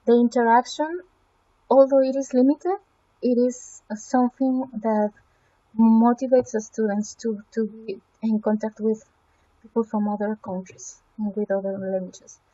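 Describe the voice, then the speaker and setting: A fairly high-voiced woman whose first language is Spanish talks through an evaluation in a mellow, soft tone. She pauses often, fills gaps with "um" and "uh", and restarts her phrases. The recording sounds dull and lo-fi. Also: steady tone. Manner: hesitant, with frequent fillers and self-corrections. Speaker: student presenting a website evaluation. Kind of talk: semi-scripted spoken evaluation.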